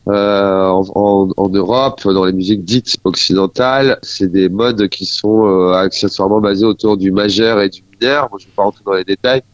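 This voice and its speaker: Low-voiced man, halting and thoughtful. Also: fairly even intonation, no room sound, highs cut off. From France. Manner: halting, thoughtful, searching for words. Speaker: musician guest. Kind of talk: casual radio interview.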